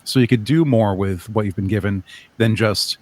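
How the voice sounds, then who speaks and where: Low-voiced man explaining his creative project. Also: moderate pace, a little mellow. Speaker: guest, audio drama creator. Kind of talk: casual interview chat.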